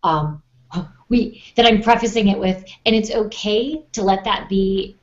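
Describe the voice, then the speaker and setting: A woman with a mid-pitched voice talks casually as a podcast co-host. She reasons in a measured way, qualifying what she says, over slightly dull lo-fi audio. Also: fairly even intonation.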